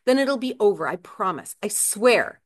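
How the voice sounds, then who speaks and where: A woman's voice: mid-range voice, big pitch swings, normal speed, lightly acted. A woman voicing a desperate, agitated husband in a novel reading, speaking with urgent, earnest pleading.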